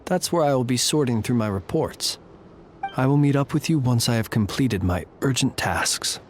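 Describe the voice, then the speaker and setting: Solemn guard officer for a game, male actor: medium pitch, formal, reassuring, unhurried dignity. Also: lively intonation, a little hiss or hum, close to an everyday voice. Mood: composed. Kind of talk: video game voice acting.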